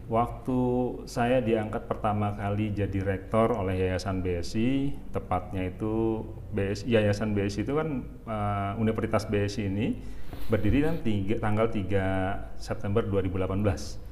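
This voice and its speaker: A man in his forties who grew up in Jakarta talks through a casual interview, pausing often and using plenty of fillers. His voice sits somewhat low. A faint hiss runs beneath the recording. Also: a little mellow. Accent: Jakarta Indonesian. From Jakarta, Indonesia. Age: 40s. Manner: unhurried, halting, many fillers. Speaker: university rector, guest.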